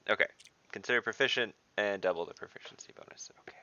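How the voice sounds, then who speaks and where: A man's voice: lowish voice, fast, bright, forceful tone, no room sound, lo-fi sound. A man talking casually as a player in an online tabletop game. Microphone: online voice call.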